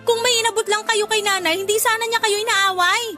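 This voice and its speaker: Very high, bright, pleading daughter played by a woman. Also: fairly even intonation, quick pace. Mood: reproachful. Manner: pleading, reproachful. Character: exasperated, outspoken school-age daughter, polite but weary. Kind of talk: Tagalog radio drama acting. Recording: music behind the voice. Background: music.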